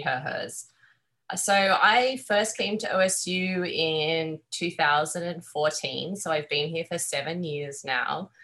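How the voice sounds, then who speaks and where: A woman's voice: low voice, creaky, sharp tone. A woman with an Australian accent talking in a chatty, enthusiastic way as a guest in a podcast interview. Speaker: guest, veterinary surgeon and professor.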